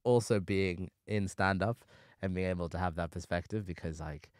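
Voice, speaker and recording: A young man from Zimbabwe hosting a podcast in a small studio, animated and quick to laugh, talking into a boom mic. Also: clear, resonant tone, no room sound. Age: young adult. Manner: animated, laughs often, gestures while talking. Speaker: podcast host. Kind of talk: casual podcast chat. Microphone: boom mic on arm, headphones.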